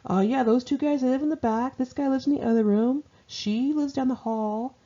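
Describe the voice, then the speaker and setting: Woman putting on her awkward american dad, mellow and husky, halting, shrugging it all off, memoir audiobook, muffled sound. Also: high-pitched, pitched above the performer's own voice. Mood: awkwardly nonchalant. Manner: halting, nonchalant, mumbly filler words. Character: narrator's casual, hesitant middle-aged father introducing shady housemates.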